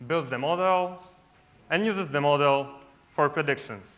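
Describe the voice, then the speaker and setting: A man's voice: a little high, unhurried, a bit bright. A man from Poland giving a casual conference talk on stage, often tagging sentences with "right?". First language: Polish. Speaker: conference speaker. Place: large lit stage.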